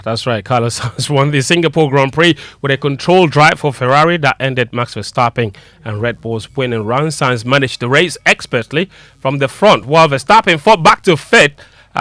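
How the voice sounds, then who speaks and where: A man reads a radio sports bulletin in a crisp way, with the odd uh and a small chuckle. His voice is bright and forceful, close and dry. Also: mid-range voice, dry recording. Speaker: sports presenter.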